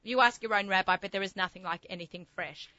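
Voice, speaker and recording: A woman's voice: medium pitch, quick pace, bright, forceful tone, no room sound, muffled recording. A middle-aged woman with an Australian accent, hosting a food show in a chatty, enthusiastic, rambling way. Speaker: host of a food talk show. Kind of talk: casual chatty radio interview. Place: studio.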